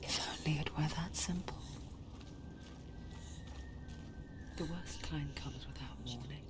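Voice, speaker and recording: A woman playing a knowing, ominous inner voice that narrates a game story in a hushed, deliberate, intimate whisper. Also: low voice, lightly acted. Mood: ominous warning.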